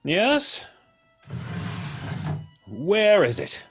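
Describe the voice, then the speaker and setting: An actor playing a vengeful, gloating ex-convict in an old-time radio drama, with theatrical menace and florid, drawn-out diction. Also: male voice, high-pitched, huge pitch swings, music in the background, heavily acted.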